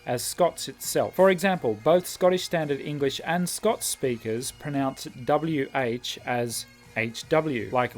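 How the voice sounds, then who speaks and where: A man's voice: medium pitch, a little slow, few pauses, faint music behind. A man vlogging as he reads passages from a book aloud, commenting in between and reacting with surprise and laughter. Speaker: language vlogger.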